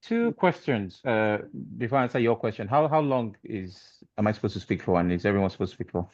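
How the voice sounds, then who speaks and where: A man who works in radio, speaking as a panelist on a video call in a relaxed, joking way. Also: lowish voice, a little fast, dry recording. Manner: relaxed and self-deprecating, joking. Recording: dull lo-fi call audio.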